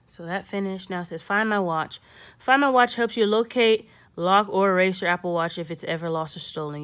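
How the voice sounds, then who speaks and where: A woman's voice: lowish voice, fairly bright tone. A young woman hosting a casual step-by-step tech tutorial, thinking aloud and reading prompts in an informal way.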